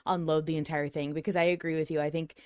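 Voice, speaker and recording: A woman's voice: low voice, level tone, fast, a little mellow, clear, resonant tone, dry recording, clean studio sound. A woman chatting casually as a panelist in a fan discussion. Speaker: podcast panelist.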